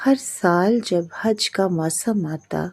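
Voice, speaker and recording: A woman narrating a scripted moral story in short, measured phrases with pauses. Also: fairly low pitch, vocal fry.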